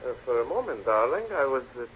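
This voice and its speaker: A smug, polished suitor who thinks he has an answer for everything, voiced by a man. His speech rises and falls in a lively, self-satisfied way. It comes through a dull, hissy old radio broadcast. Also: mid-range voice, fast, natural voice. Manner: smug, smooth-talking. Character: smooth smug male suitor. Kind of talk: 1940s radio acting.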